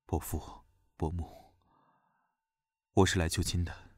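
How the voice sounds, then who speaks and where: A man playing a determined young officer in an audio drama, talking politely and deliberately. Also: low-pitched, level tone, dry recording, studio quality. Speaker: voice actor. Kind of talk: modern Chinese audio drama acting.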